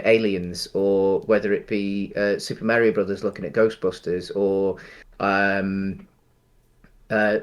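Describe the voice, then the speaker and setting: A man co-hosting a casual film discussion, talking in a hesitant, rambling way with halting phrasing. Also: on the low side. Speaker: podcast co-host.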